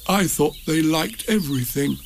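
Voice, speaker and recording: A man plays a mild, slow-witted storybook bear with a kindly, polite air. The voice sits fairly high and sounds simple and earnest. There is faint noise in the background. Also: expressive. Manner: simple, earnest, matter-of-fact.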